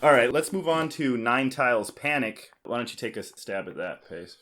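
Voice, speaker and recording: A man's voice: medium pitch, expressive, normal speed, fairly bright tone. A man hosting a podcast, chatting casually about board games with a dry, teasing, joking manner. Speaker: podcast host.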